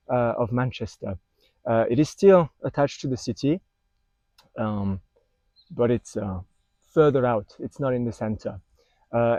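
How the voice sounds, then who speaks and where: A man's voice: medium pitch, warm tone, no echo, highs cut off. A man in his twenties with a French accent, speaking hesitantly to camera in a park, with lots of fillers and restarts. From France. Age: 20s. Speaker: vlogger. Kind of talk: casual talk to camera. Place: city park outdoors. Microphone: wireless clip-on mic recorded to phone.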